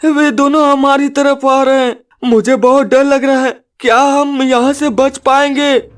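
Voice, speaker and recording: A man's voice: very high voice, fairly even intonation, measured pace, voice pushed up. A man voicing a frightened young boy in a cartoon story, anxious and pleading with devotion. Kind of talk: dramatic cartoon story acting.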